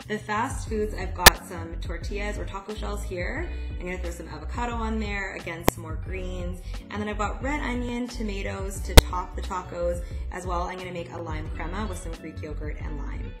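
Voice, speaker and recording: Female host, thirties, slightly low voice, casual how-to narration, roomy sound with music underneath. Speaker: dietitian presenting meal prep tutorial. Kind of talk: casual instructional vlog narration. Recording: echoey room with music underneath. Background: background music.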